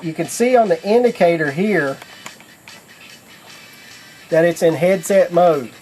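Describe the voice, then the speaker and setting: A man's voice: a little high, expressive, measured pace, darkish tone, soft background music. A man giving a casual how-to demonstration in a folksy, plain-spoken way, like a product demonstrator explaining things step by step.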